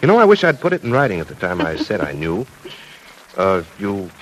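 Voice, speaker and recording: A man's voice: low-pitched, huge pitch swings, a little fast, fairly bright tone, a little hiss or hum, exaggerated voice, low-quality recording. An actor playing a playful young man in a 1940s radio drama, speaking in a sly, joking way.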